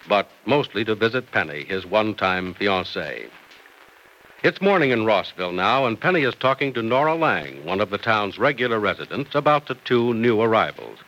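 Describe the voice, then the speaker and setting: A man's voice: medium pitch, sharp tone, rough recording. A man announcing and narrating in old-time radio style, with polished, emphatic salesmanship.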